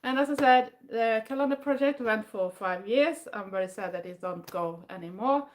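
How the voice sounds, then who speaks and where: An older woman from southwest Sweden, a native Swedish speaker, chatting casually in English with a Swedish accent, pausing often with fillers and restarting her sentences. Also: on the high side. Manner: hesitant, many fillers and restarts, non-native phrasing. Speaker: vlogger. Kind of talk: casual chat.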